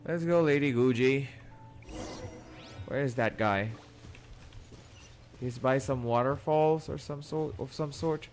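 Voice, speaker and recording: A man's voice: mid-range voice, takes it slowly, pauses often. A man doing casual, chatty livestream commentary while playing a game, in a playful way. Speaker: game streamer. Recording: game music behind.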